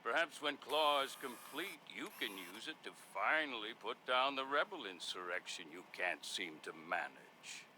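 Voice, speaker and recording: An actor playing a menacing, arrogant mastermind in a video game, theatrical, deliberate and condescending. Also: male voice, medium pitch, animated, bright, forceful tone, a little hiss or hum. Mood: smug, contemptuous. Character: menacing, arrogant male arms mastermind, commanding and contemptuous. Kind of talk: video game cutscene acting.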